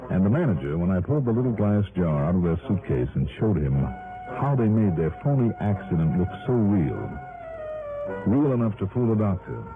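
A man's voice: sits low, big pitch swings, normal speed. An actor playing a self-assured American investigator, narrating his case in a wry, conversational 1960s radio style. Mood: wry, satisfied. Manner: wry, conversational, punchy storytelling. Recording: tinny old radio broadcast with music.

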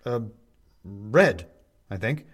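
A man plays a flustered little man in a storybook. He stammers and hesitates, panicky and selfish, his pitch jumping about in a lively way. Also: very slow, husky, heavily acted.

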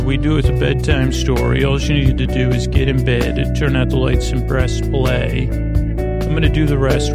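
A man hosting a bedtime podcast, wandering off topic and stumbling through restarts and fillers. His voice is gravelly, close and dry, with faint music underneath. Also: mid-range voice, no room sound. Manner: meandering, halting, soft-spoken with many fillers and restarts. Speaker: podcast host. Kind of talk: rambling bedtime podcast talk.

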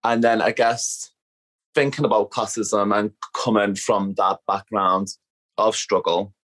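A woman's voice: very deep voice, fairly even intonation, measured pace. A woman from Liverpool with a thick Scouse accent, talking candidly and casually as an interview guest. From Liverpool, England. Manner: candid, peppers speech with "like". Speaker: podcast guest, activist. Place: at home.

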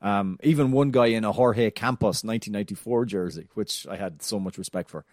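A man with a fairly low voice and a non-American English accent chats casually on a soccer podcast, full of fillers and restarts. The sound is dry and close, with no room echo. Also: brisk, darkish tone. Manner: chatty storyteller, frequent fillers and restarts. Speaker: podcast co-host.

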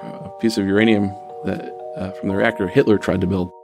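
A man's voice: deep voice, fairly even intonation, brisk, music in the background. A man speaking as a researcher in a casual interview.

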